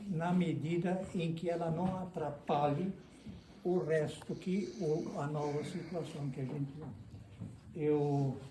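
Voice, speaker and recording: An older man, a native German speaker, giving a reflective public talk, halting and restarting often as he searches for words. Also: a little high, fairly even intonation. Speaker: speaker at a book event. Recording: echoey room, mic at a distance, noisy.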